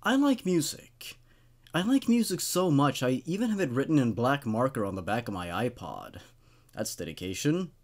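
A man's voice: mid-range voice, big pitch swings, normal speed. A man in his twenties hosting and reading horror stories expressively, with dramatic delivery and casual, wry asides. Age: 20s. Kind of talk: expressive story narration with casual commentary. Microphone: studio condenser microphone on a stand.

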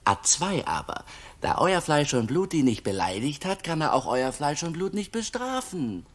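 Husky, earnest country shepherd. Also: male voice, fairly high pitch, sing-song, normal speed. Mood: arguing. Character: simple, earnest male shepherd peasant, naively crafty. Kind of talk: 1960s German radio play acting.

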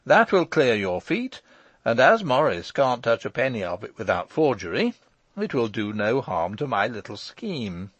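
A man voicing a brisk, teasing gentleman lawyer in an audiobook story, talking in an eager, emphatic, breezy way. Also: medium pitch, sing-song, husky, dry recording. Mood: brisk, decisive.

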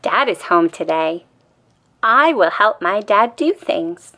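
A woman reading a children's picture book aloud as an expressive, deliberate bedtime story. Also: mid-range voice. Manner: deliberate, acts out quoted lines in a child-directed way.

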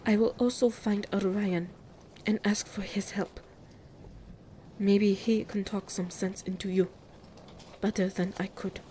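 A woman's voice: medium pitch, steady tone, unhurried, long pauses, dark tone, a little hiss or hum, natural voice. A woman playing a grave, mystical female ruler in an audio roleplay, speaking formally and breathily as she pleads for her people. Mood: resigned.

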